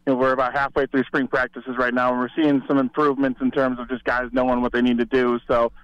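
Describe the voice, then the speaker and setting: A man's voice: mid-range voice, flat delivery, quick pace, no echo. A man giving conversational football analysis as a reporter guest over a remote call. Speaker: sports reporter, show guest. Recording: slightly dull remote call audio.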